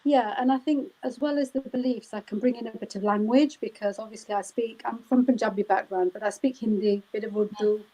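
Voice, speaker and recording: Woman, celebrant, mellow dark tone, conversational, hesitant fillers. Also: a little high, steady tone, fairly quick, hardly any pauses, breathy edge, rough recording. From United Kingdom. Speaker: wedding celebrant. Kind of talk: live chat interview.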